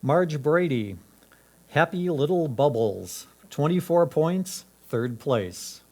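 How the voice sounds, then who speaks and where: A man's voice: fairly high pitch, lively intonation, slow pace, darkish tone. A man announcing titles, names and scores at a club competition.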